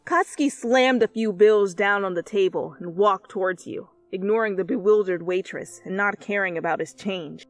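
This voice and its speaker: A woman reading fan fiction as an expressive, dramatic narrator who swears freely. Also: medium pitch. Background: soft music.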